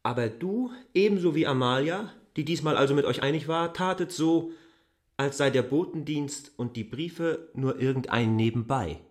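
An actor as a reproachful man, mid-pitched. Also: normal speed, close to an everyday voice. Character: reproachful man confronting a woman about deception. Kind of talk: literary radio drama dialogue.

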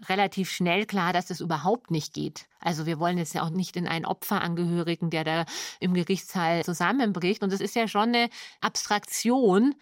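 A woman's voice: lowish voice, dry recording, clean studio sound. A woman giving an informal interview statement, conversational and colloquial, repeating words for emphasis. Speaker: radio play maker.

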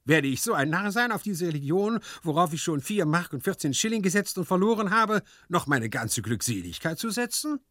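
A man's voice: sits high, big pitch swings, brisk, hardly any pauses, a bit bright, dry recording, studio quality, pitched above the performer's own voice. A man voicing a scrawny, know-it-all but good-natured manservant in a storybook, pert and contradicting. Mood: indignant. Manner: pert, contradicting, mangles learned words.

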